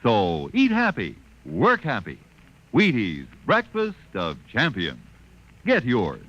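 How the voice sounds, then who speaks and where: Male American commercial announcer, exclamatory and swooping, fairly high, muffled 1950s radio sound. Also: wildly swooping pitch, takes it slowly, a bit bright. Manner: punchy, sloganeering, exclamatory. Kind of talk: upbeat 1950s radio advertising pitch. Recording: muffled old radio broadcast recording.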